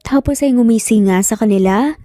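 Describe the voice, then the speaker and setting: Mellow, high-voiced Filipino woman narrating. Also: fairly quick, no echo, clean studio sound, soft background music. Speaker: story narrator. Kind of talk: scripted romance story narration.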